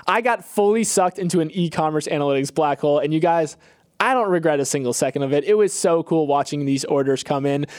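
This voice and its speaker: Male podcast co-host, slightly high voice, casual commentary, very dry close sound. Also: quick pace, a little mellow, no room sound.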